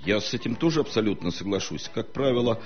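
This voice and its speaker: A man speaking as a historian guest on a studio talk show, lecturing in a measured way with frequent hesitations. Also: on the low side, expressive, lo-fi sound, faint music behind.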